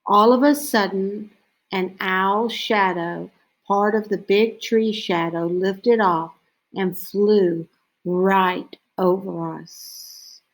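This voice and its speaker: A woman reading a children's story aloud in a deliberate way, with frequent pauses between short phrases. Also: medium pitch, fairly even intonation, rough recording. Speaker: storybook reader.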